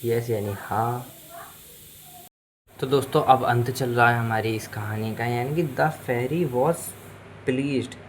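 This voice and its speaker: A man's voice: medium pitch, takes it slowly, pauses often, a little hiss or hum, poor audio. A man with an Indian accent, a native Hindi speaker, teaching English in a bilingual lesson, explaining word by word and repeating phrases.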